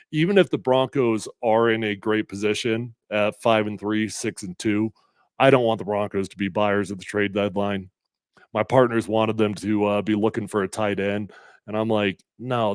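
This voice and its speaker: A middle-aged man hosting casual sports talk, a little congested, energetic and emphatic as he reads comments and makes predictions. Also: lowish voice, no room sound, studio quality. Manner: energetic, conversational, emphatic with fillers. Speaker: sports radio host previewing a game.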